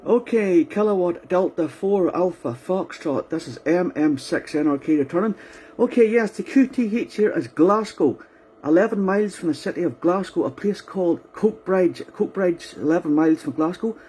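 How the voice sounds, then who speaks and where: A man's voice: sits high, expressive, normal speed, dark tone, a little hiss or hum. A man making a ham radio contact, methodically spelling out call signs in the phonetic alphabet and repeating them. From County Antrim, Northern Ireland.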